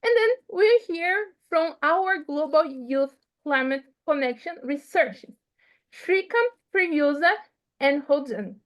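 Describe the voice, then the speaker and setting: A woman with a Portuguese accent hosts an online panel over a video call. Her voice sits high and her phrasing is lively and non-native. She speaks Portuguese as her first language. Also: slow. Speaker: event host.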